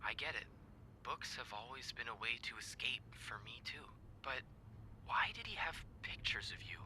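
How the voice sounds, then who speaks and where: An actor playing a soft-spoken, bookish young hotel worker in a video game, shy and warm. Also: male voice, medium pitch, moderate pace, noisy background, lightly acted. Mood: musing. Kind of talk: game voice acting.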